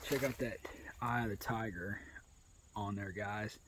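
A man's voice: lowish voice, fairly even intonation, a little slow, fairly bright tone, slight background noise, low-quality recording. An American man, around his thirties, casually reviewing gear outdoors in a folksy, conversational way. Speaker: outdoor video host. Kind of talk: casual product review to camera. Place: outdoors in a grassy rural yard.

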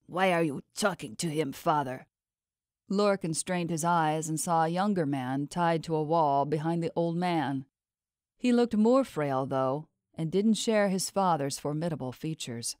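A woman reads a fantasy novel as an audiobook narrator. Her voice is pitched low for a woman. The recording is dry, with no room sound. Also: unhurried, a little mellow, no echo.

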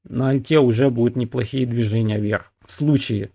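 A man's voice: mid-range voice, fairly even intonation, moderate pace, gravelly, warm tone. A man hosting his own crypto channel, talking casually over trading charts with many fillers and asides to viewers. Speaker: crypto market analyst, channel host. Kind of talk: screen-recorded chart analysis.

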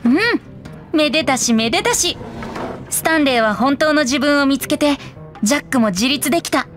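A soft, lyrical teenage boy bard from an anime game, acted by a woman. The voice sits fairly high, gentle and playful with a wistful storyteller's touch. Music plays clearly behind it. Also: moderate pace, natural voice. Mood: cheerful, playful. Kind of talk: Japanese anime game voice acting.

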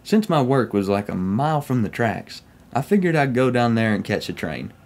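A man's voice: medium pitch, very animated, moderate pace, a little mellow. A young man from Oklahoma with a Southern US accent, casually telling first-person railfan stories in a folksy way. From Oklahoma, United States. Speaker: railfan vlogger. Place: small room at home, indoors.

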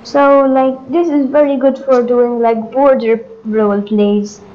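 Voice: mid-range voice, level tone, a little slow. A gaming host giving a casual walkthrough of game updates, hesitant and thinking aloud, on a dull lo-fi microphone.

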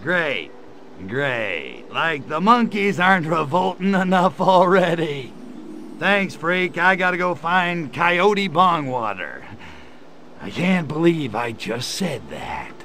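An actor voicing a cynical, menacing little alien invader in a video game, sneering and full of wisecracks. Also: male voice, on the high side, takes it slowly, slight background noise, heavily acted. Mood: sardonic. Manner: wisecracking, sneering, deadpan asides. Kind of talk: video game cutscene acting.

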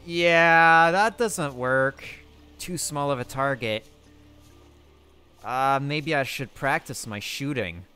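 A man voicing his character in a survival game roleplay, excitably thinking aloud to himself. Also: medium pitch, takes it slowly, clean tone, soft background music.

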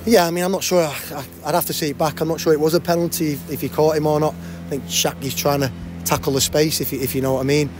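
A man in his forties, a football manager, giving a post-match interview at a stadium with a handheld mic. He talks fast and often restarts and repeats words. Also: a little high, fairly even intonation, noisy background. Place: outdoors by a cafe banner at a stadium.

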